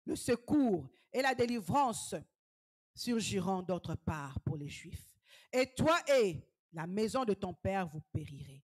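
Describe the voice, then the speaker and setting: A woman evangelist, preaching a sermon fervently, dropping to hushed whispers and rising to shouted exhortations. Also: medium pitch.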